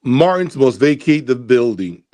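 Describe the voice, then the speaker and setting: Male gear reviewer, mid-pitched voice, casual and opinionated host delivery, deliberate, with emphatic repetition. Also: sing-song, warm tone. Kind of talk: casual opinionated commentary to viewers.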